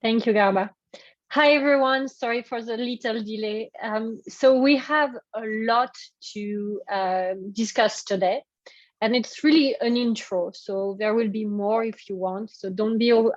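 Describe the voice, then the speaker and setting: A woman's voice: fairly high pitch, unhurried, no room sound, dull audio. A woman from France with a French accent, presenting a business workshop over a video call, hesitant and full of fillers. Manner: hesitant, many fillers and restarts. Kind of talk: webinar presentation.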